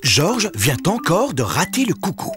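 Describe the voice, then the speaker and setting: A man acting as the cheerful narrator of a children's cartoon, in measured, scripted storytelling. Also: high-pitched, wildly swooping pitch, heavily acted. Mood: calm. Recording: music bed behind the voice.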